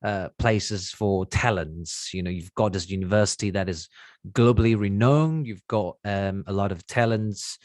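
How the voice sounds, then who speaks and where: A man's voice: lowish voice, moderate pace, darkish tone, no echo, studio quality. A man with a British English accent hosting an interview and giving enthusiastic, encouraging interjections. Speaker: host. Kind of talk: casual interview.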